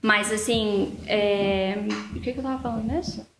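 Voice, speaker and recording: A woman's voice: mid-range voice, fairly even intonation, moderate pace, a loud crowd. A Brazilian woman with a Brazilian Portuguese accent, a lawyer telling long rambling stories as a podcast guest. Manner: rambling storyteller, full of "então, assim" fillers. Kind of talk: casual interview chat. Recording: echoey room.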